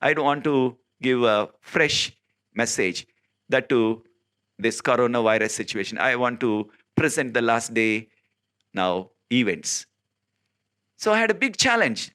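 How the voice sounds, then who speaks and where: A man from South India whose first language is Telugu, preaching in an earnest, emphatic way, with many filler pauses and sudden rises in pitch. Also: sing-song, a bit bright, clear, resonant tone. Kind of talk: informal recorded sermon.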